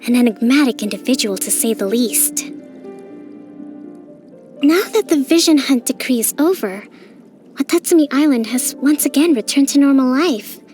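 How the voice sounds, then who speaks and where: A woman voicing a gentle young priestess and strategist in a video game, speaking formally and thoughtfully in a soft, measured way. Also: high voice, lively intonation. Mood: measured, appraising. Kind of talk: scripted video game character voice lines. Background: music.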